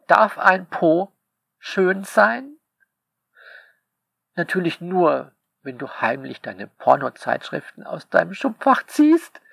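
A man talks to his listeners in a slow, unhurried drawl. His voice is gravelly with fry. He sounds sarcastic and polemical, and his delivery is full of rhetorical questions, recorded dry and close with no room sound. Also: high voice, fairly bright tone, no echo. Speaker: author reading commentary on his novel. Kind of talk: spoken monologue to listeners.

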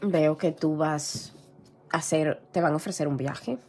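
A hoarse woman reading tarot cards in a casual, gentle way, clearing her throat often. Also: deep voice, measured pace, a little hiss or hum. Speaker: tarot reader. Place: indoor room with a tarot table. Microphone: camera over table, hands seen.

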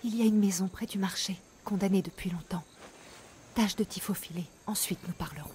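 An actress playing a fearful beggar woman in a video game dub, speaking in a hushed, conspiratorial half-whisper. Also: mid-range voice, a little fast, a little hiss or hum, natural voice. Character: fearful, secretive beggar woman of ancient Greece. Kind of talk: dubbed video game dialogue.

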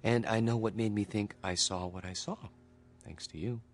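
An actor playing a mild, polite grown son who is eager to please, speaking gently and earnestly in a radio drama. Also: male voice, fairly low pitch, steady tone, normal speed, close to an everyday voice. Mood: subdued, reassuring. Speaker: actor playing a discharged patient.

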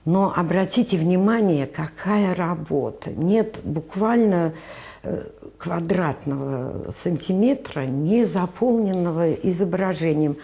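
An older woman giving a museum tour, her voice low and mellow. She speaks slowly and hesitantly, pausing with filler sounds as she explains objects. Clipped to a lapel mic indoors. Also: lively intonation. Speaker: museum guide.

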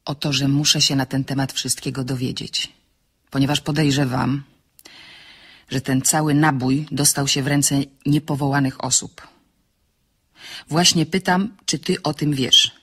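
Husky-voiced woman reading fiction. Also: a bass voice, fairly even intonation, a little slow, fairly bright tone. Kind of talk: expressive audiobook reading of a novel with acted dialogue.

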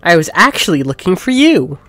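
A man voicing a restless, blunt young female cat in a game playthrough, lifting his voice higher for her. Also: very animated, brisk, pushed and bright, dry recording, faint music behind, full character voice. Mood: casual. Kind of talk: let's play voice acting.

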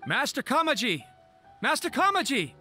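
A man's voice: extremely high pitch, huge pitch swings, moderate pace, sharp tone, full character voice. A man playing an anxious, deferential clan retainer in a video game, polite and stammering. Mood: alarmed. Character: nervous, deferential male retainer of a noble clan. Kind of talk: video game character acting. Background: game music.

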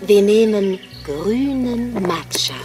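A woman's voice: medium pitch, lively intonation, slow. An actress playing a serene, graceful female alien android ambassador in a radio drama, speaking in a ceremonial, gracious manner. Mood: gracious, calm. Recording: music behind the voice.

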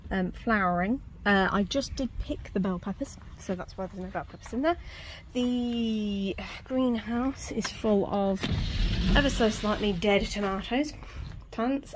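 A woman's voice: medium pitch, a little hiss or hum, poor audio. A woman giving a casual, self-mocking tour of her allotment garden, recorded outdoors on a handheld phone. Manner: chatty, self-mocking, laughs easily. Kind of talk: casual walk-through chat. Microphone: handheld phone camera, speaker off-screen. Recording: faint outdoor ambience.

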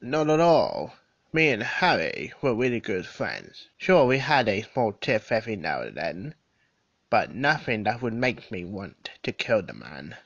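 A man acts a defensive, formal gentleman under questioning in an audio drama. His voice is bright and lively in pitch, earnest in delivery, recorded dry and a little dull. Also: measured pace, no room sound, heavily acted. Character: defensive, well-spoken gentleman murder suspect. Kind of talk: scripted audio drama acting.

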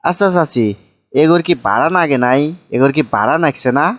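A man's voice: fairly high pitch, lively intonation, brisk, a bit bright. A man voicing an exasperated younger village man humouring his aunt in a comic cartoon, mock-deferential, with a northern Bangladeshi Bogra accent. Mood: questioning.

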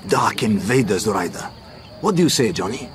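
An actor playing a suave, wealthy racehorse owner in a radio drama, terse, shrewd and decisive. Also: male voice, on the low side, big pitch swings, normal speed, crowd noise. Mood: commanding. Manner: terse, assured.

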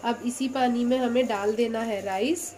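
A woman's voice: on the high side, fairly even intonation, normal speed, mellow, slight background noise. A woman hosting her own cooking channel, talking through each step of a recipe in a chatty, patient way from her home kitchen. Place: home kitchen by a gas stove.

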